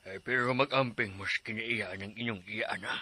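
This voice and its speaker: A man's voice: lowish voice, fairly quick, pushed and bright, breathy edge, exaggerated voice. An actor playing a joking middle-aged father who teases and reminisces in a radio drama. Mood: teasing. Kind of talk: Cebuano radio drama acting.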